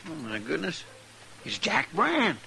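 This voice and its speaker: An American actor playing a loyal, fretful frontier deputy with folksy, rustic speech in an old-time radio western. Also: male voice, a little high, huge pitch swings, measured pace, gravelly, sharp tone, heavily acted. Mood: curious. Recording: vintage radio recording with faint noise.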